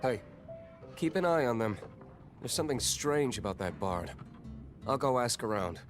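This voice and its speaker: A man's voice: mid-range voice, animated, normal speed, soft background music, lightly acted. A man voicing a reserved, suspicious young tavern owner in a video game, terse and dry. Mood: wary.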